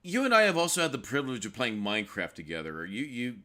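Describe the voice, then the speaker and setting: A host with a bright, forceful voice at an ordinary middle pitch for a man. He talks in excitable bursts, stammering and restarting. The tone is a casual chat. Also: quick pace.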